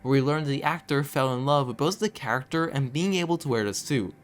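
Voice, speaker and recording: A man reading scripted commentary in a video essay, with measured storytelling broken by conversational asides. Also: mid-range voice. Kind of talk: scripted narration, horror series commentary. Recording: background music under voice. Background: background music.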